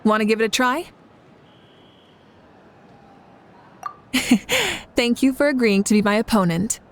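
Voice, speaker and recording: High-voiced, confident, playful young woman played by an actress. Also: expressive, normal speed, a little hiss or hum, lightly acted. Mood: friendly. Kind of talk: video game voice acting.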